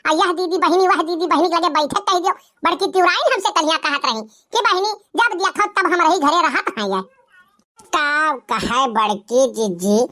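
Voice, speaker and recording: A performer voicing a fat, sharp-tongued cartoon village woman, scolding and taunting with drawn-out wails in an Awadhi accent. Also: extremely high pitch. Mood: scolding complaint. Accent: Awadhi, eastern Uttar Pradesh. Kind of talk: rustic comedy cartoon dialogue.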